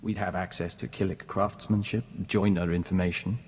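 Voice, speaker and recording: Game companion: composed hive-joined diplomat man, low register, formal and earnest, heavy reverberation. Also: fairly even intonation, normal speed, a little mellow. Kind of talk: scripted video game companion dialogue. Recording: long reverb, audible background noise.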